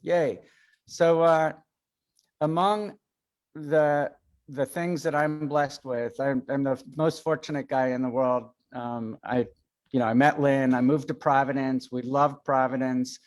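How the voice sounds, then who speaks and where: A man's voice: mid-range voice, fairly even intonation, unhurried. A man from Chicago giving a casual lecture over a video call, hesitant with many fillers and self-deprecating. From Chicago, United States.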